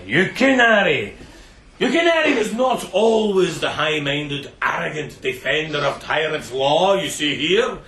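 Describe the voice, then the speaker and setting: An actor playing a sadistic bandit chieftain in a radio drama, theatrical and mocking, relishing every word with menace. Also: male voice, a little high, sing-song, measured pace, sharp tone, heavily acted. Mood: mocking, gleeful. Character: gloating, sadistic bandit chieftain taunting bound captives.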